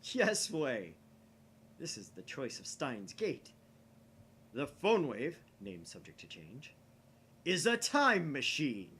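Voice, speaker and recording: A man voicing a grandiose young mad scientist in a visual novel, declaiming theatrically with pompous, dramatic pauses. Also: medium pitch, huge pitch swings, fairly bright tone, a little hiss or hum, heavily acted. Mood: smug, grandiose.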